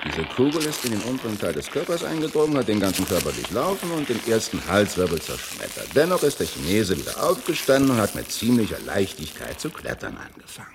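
A bossy, impatient radio-drama character with a low, gravelly voice. His brusque phrases leap up and down inside a long reverb, with music behind. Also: huge pitch swings, fast, few pauses, noisy background. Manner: brusque, urging, erratic. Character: bossy, impatient man barking gruesome instructions. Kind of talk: experimental 1970s radio play acting.

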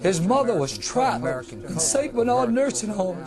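A woman's voice: a little high, sing-song, a little slow. A woman giving impassioned testimony, with insistent, emotional repetition. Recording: crowd noise behind the voice.

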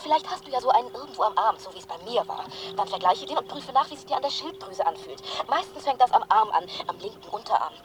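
An actress in a radio drama playing a pushy, alarmist online forum member, insistent and knowing. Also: female voice, on the high side, lively intonation, quick pace, few pauses, background noise, close to an everyday voice. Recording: music behind the voice.

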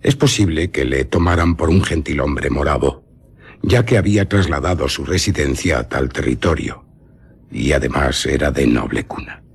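An actor playing an eccentric old baron and vampire scholar in a Castilian Spanish radio drama, telling his tale dramatically with lingering pauses and a very raspy voice. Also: rumbling low, exaggerated voice. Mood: mysterious, solemn. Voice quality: very husky, raspy.

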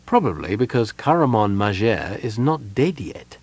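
A sour, armored guard from a fantasy storybook, acted by a man. The voice sits low and gruff, with terse, caustic phrasing. He sounds grim and thoroughly unimpressed, recorded close and dry. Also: very animated, normal speed, a little mellow, dry recording, exaggerated voice. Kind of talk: audiobook character voice.

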